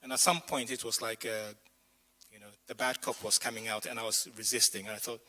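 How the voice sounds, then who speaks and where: A man's voice: on the low side, flat delivery, fairly quick, pushed and bright. A male pastor giving a chatty sermon to his congregation, his voice strained after losing it, with asides to the people in the room. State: voice strained, says he lost his voice. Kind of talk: sermon with personal stories. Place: church community hall.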